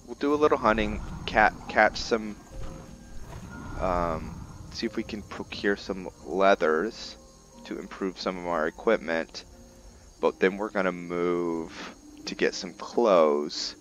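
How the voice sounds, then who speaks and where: A man's voice: low voice, slow pace, a bit bright, slight background noise. A man hosting a gaming video, giving casual roleplay commentary in a musing, thinking-aloud manner.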